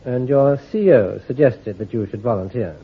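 An actor playing a shrewd army intelligence commander in a radio drama, issuing careful orders in a clipped, authoritative way. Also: male voice, medium pitch, moderate pace, husky. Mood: commanding. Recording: tinny, phone-like old recording.

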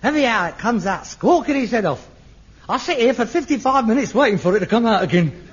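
An actor playing a frustrated middle-aged son, theatrical, swinging from wistful muttering to manic ranting in a sitcom. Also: male voice, extremely high pitch, expressive, fairly quick, a little hiss or hum. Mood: defensive bickering. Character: frustrated middle-aged son, a rag-and-bone man with grand dreams. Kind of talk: 1970s TV sitcom acting. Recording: dull old TV soundtrack.

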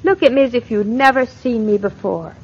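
A woman's voice: a little high, fairly quick, a little mellow, breathy edge. An actress playing a young newlywed wife, girlish, chatty and earnest, eager but insecure, in a 1940s radio drama style. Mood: earnest, anxious. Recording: dull lo-fi old radio recording.

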